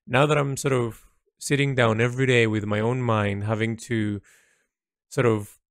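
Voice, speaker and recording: A man speaking a reflective monologue as an artist's audio log, halting and introspective as he searches for words. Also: medium pitch, no echo, studio quality.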